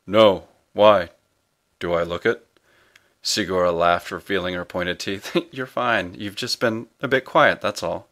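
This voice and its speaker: A man's voice: low-pitched, a little slow, fairly bright tone. A man reading fiction aloud as an audiobook storyteller. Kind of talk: scripted audiobook narration.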